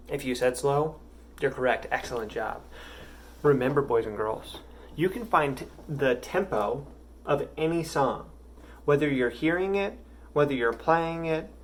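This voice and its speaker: A man's voice: medium pitch, a little hiss or hum. Encouraging male music teacher. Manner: patient and encouraging, speaking to young pupils. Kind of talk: recorded music lesson for children.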